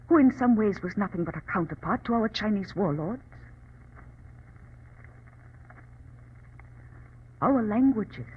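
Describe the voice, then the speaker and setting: A woman's voice: medium pitch, husky. An actress playing a proud, defiant female revolutionary leader from China in formal, impassioned 1940s radio drama style. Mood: impassioned, idealistic. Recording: muffled, scratchy old radio recording.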